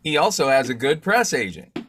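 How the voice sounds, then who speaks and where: A man acting an urbane, principled newspaper publisher in an old-time radio play. His middle-pitched voice is bright and forceful, with lively rises and falls. He speaks politely and formally, in measured phrases. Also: a little hiss or hum. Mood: genial.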